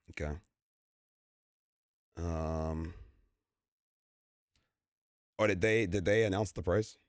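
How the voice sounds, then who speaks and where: A young man with a deep, low voice, talking to his stream in a loose, rambling way. He stammers and leans on fillers as his voice grows tired, recorded dry and close with no room around him. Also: extremely slow, pauses often, dry recording. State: losing his voice, clearing his throat. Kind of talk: casual live-stream chat.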